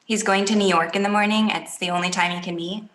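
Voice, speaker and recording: An actress reading the part of Emily, a young painter, in a calm and blunt way at a comedy table read. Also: female voice, mid-range voice, quick pace, echoey room, close to an everyday voice. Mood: evasive. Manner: calm, blunt, matter-of-fact delivery.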